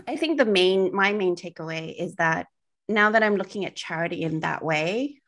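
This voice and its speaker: A woman with a soothing voice that sits a little low in pitch. She chats casually as a podcast co-host. She wanders through her thoughts with fillers and restarts. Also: expressive, normal speed, fairly bright tone. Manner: meandering, self-correcting sentences with many fillers. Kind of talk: casual conversation.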